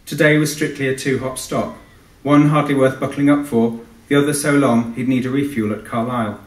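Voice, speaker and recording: A man's voice: mid-range voice, fairly even intonation, fairly quick. A man with a British accent, an author giving a live literary reading. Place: live event in a hall. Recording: echoey hall, distant microphone.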